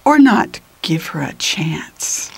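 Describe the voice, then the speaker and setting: An older woman reading a children's picture book aloud in an expressive, deliberate manner that drops into whispers, with little asides to the listeners. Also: low-pitched, gravelly, low-quality recording. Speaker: children's storybook reader.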